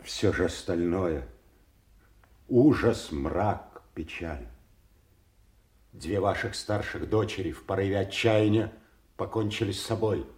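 A man's voice: sits low, takes it slowly, pauses often, darkish tone, roomy sound. An actor playing a loyal, sorrowful nobleman in a king's service, in a solemn, declamatory classical stage manner. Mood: grim. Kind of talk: classical theatrical audio drama acting.

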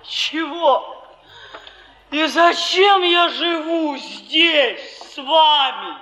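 An actress playing a sulky, fed-up flophouse woman, bitter and defiant, in drawn-out theatrical stage style. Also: sits high, sharp tone, exaggerated voice, poor audio. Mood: defiant, despairing.

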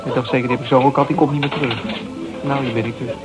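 An actor in a Dutch radio play as a defensive, insecure young man who talks haltingly in coarse, slangy language. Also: medium pitch, steady tone, over loud music, natural voice, poor audio. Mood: rueful, eager. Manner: halting, repeating words, coarse slangy swearing. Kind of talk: naturalistic Dutch radio drama acting. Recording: radio play with crowd chatter behind.

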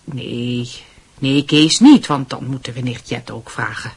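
Husky, fussy aunt. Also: female voice, a bass voice, a little slow, full character voice. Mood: fussy, decisive. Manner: fussy, insistent. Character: fussy, decisive middle-aged aunt planning family dinner. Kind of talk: radio drama acting.

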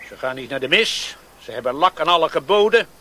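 An actor playing a bitter, hot-tempered village friar and schoolmaster, scornful and scolding, in a radio drama. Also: male voice, on the high side, animated, brisk, bright, forceful tone.

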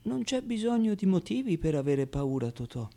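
A man voicing an old, heavy, fearful foster mother in a radio play, tender and weary, with slow, deliberate phrasing. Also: sits high, big pitch swings, creaky, mellow, voice pushed up, heavily acted.

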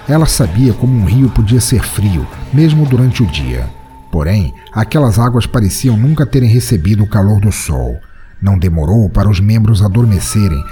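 A man giving dramatic, suspenseful audiobook narration. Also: on the low side. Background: soft background music.

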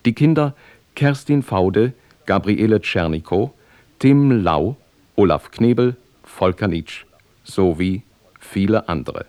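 A male announcer reads radio drama credits in a formal, measured way. His voice is deep and very slow. The recording is dry and close. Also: expressive, darkish tone, no room sound.

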